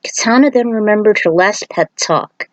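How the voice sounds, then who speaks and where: A woman's voice: medium pitch, normal speed, dry recording, rough recording. A woman reading a fan fiction story aloud as an amateur narrator.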